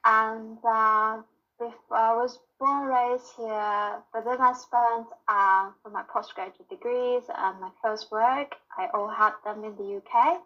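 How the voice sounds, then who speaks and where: A woman's voice: a little high, steady tone, a little slow, muffled recording. A woman from Taiwan, an assistant professor, answering interview questions thoughtfully with frequent fillers.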